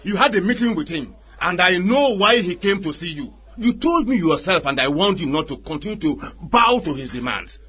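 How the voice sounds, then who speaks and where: A man's voice: sits high, lively intonation, moderate pace, hardly any pauses, pushed and bright, breathy edge, exaggerated voice. An actor playing a proud, aggrieved Nigerian chief in a radio drama, declaiming his accusations indignantly with a Nigerian accent. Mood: wounded, threatening.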